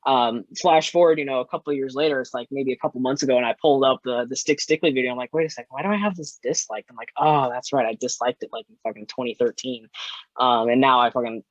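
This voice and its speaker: A man's voice: mid-range voice, fast talker, no room sound. Young man with a dull, lo-fi sound. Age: 20s. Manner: rambling, frequent "like" and "you know", swears freely. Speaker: podcast guest, musician. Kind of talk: casual chat.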